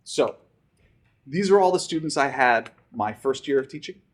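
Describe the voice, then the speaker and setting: Male teacher, storybook read-aloud to a class, emphatic, with big swoops in pitch. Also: expressive, moderate pace. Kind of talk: reading a rhyming picture book aloud to students.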